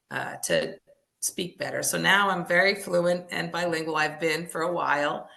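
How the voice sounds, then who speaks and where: A woman's voice: deep voice, vocal fry, pushed and bright. A middle-aged woman founder answering interview questions in an earnest, explanatory way with frequent restarts. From United States. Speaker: language services founder.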